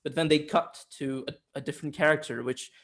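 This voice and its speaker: A man's voice: a little high, steady tone, fairly quick, a bit bright. A man co-hosting a casual film discussion, talking in an informal, emphatic way with some swearing. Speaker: podcast co-host reviewing films.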